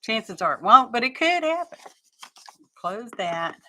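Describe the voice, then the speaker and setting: A woman's voice: on the high side, very animated, unhurried, sharp tone, a little hiss or hum. A middle-aged woman hosting a craft live stream, chatting casually and rambling to her viewers as she works.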